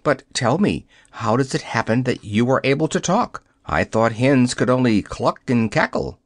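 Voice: medium pitch, expressive, moderate pace, no room sound. Reader voicing a little girl, storybook, polite, inquisitive, dry recording. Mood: curious.

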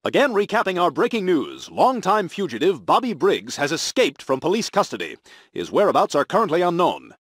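An actor playing a smug middle-aged TV news anchor, reading mock bulletins in a polished, declamatory way. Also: male voice, high voice, huge pitch swings, fairly quick, husky, dry recording, full character voice. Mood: pompous, official.

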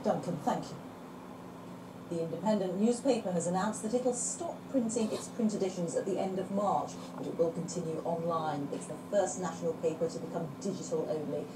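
A woman reads broadcast news as a presenter. Her voice sits a little low for a woman. It is heard from a distance in an echoey room, with noise behind it. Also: fairly quick. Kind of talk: scripted broadcast news reading. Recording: distant, roomy playback with loud background noise.

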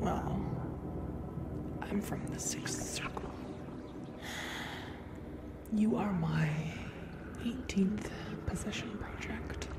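A woman playing a teasing, playfully menacing sleep paralysis demon, a retired succubus, in an ASMR roleplay addressed to the listener. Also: medium pitch.

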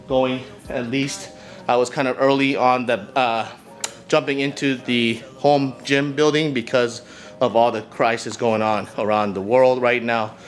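A middle-aged man giving a casual vlog tour, speaking haltingly as he searches for words. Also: mid-range voice. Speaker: vlogger, channel host. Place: small garage home gym, indoors. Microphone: camera mic, handheld or a few feet away. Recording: echoey garage with music behind.

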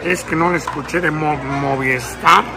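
A man's voice: fairly high pitch, steady tone, a loud crowd, natural voice. A man putting on a boozy, courteous old-time male crooner, drawling politely and sometimes crooning, in a prank phone call. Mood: courteous, naive. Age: young adult. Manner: slow, drawling, polite, breaking into song. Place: large public lounge indoors. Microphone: camera at arm's length. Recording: music playing behind.

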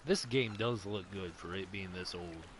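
A man's voice: low-pitched, normal speed, heavy background noise. A man giving casual live commentary as he streams a video game. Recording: loud game music over voice. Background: loud game music.

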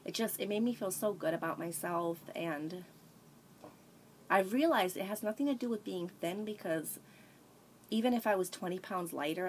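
A woman's voice: fairly low pitch, slight background noise. A woman in her thirties talking candidly and rambling in a casual home vlog, recorded on a tablet in a small sunny room. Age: 30s. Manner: rambling, candid, frequent restarts and sighs. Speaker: vlogger. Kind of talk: casual confessional chat. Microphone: tablet camera at arm's length.

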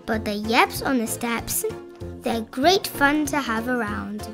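A young woman reading rhyming children's picture books aloud in an expressive, playful storytelling style. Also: fairly high pitch, slow, over music. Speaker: children's picture book reader.

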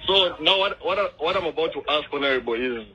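A man's voice: a little high, lively intonation, fast talker, sharp tone, breathy edge. A young man with a Zambian accent arguing over the phone, politely and persistently. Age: young adult. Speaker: local resident on a phone call. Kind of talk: phone argument. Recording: noisy, tinny recorded phone call.

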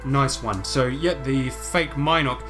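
A man runs a tabletop game, improvising the narration as game master. His mid-pitched voice plays over clearly audible music. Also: slow. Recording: music playing under the voice.

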